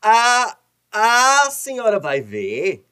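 A very slow, badly stuttering son in a radio farce. He blusters and threatens, tripping over syllables again and again. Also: sits high, huge pitch swings, bright, forceful tone, smooth, full character voice. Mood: hesitant, boastful. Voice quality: heavy stutter. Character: stuttering, indignant henpecked son, a blustering brother-in-law. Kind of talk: radio soap opera comedy acting.

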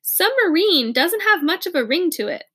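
A woman's voice: very high voice, very animated, moderate pace, a bit bright, voice pushed up, heavily acted. A woman voicing a strong, practical young man with a dry, wry way of talking in an audiobook.

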